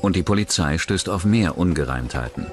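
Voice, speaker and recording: Deep voiced guy narrating a true crime doc, music going behind him. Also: animated, fairly quick. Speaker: documentary narrator.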